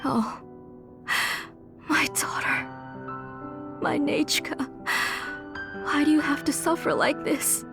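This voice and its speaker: An actress playing a kindly middle-aged mother and smith in a video game, earnest, gentle and emotive. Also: high-pitched, steady tone, takes it slowly, gravelly, pauses often, exaggerated voice. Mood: grieving, tearful. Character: guilt-ridden, kindly mother and village smith, an older auntie. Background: background music.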